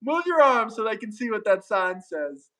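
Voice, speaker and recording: A man's voice: sits high, sing-song, normal speed, over a noisy crowd. A man hosting a livestream, mocking people on screen and giggling often. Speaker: livestream host. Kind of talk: casual joking commentary.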